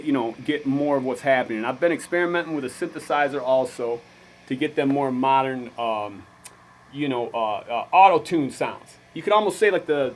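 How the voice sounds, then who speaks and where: A man's voice: fairly high pitch, fairly bright tone, slight background noise, rough recording. An American man giving an informal gear demonstration as a musician vlogger, with a slangy, laid-back way of talking. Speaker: musician presenting a band's vlog.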